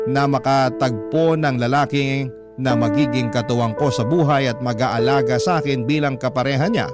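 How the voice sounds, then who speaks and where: Filipino male radio narrator over prominent music. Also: a little high. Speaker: radio drama narrator and host. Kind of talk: dramatic first-person letter narration. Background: prominent background music.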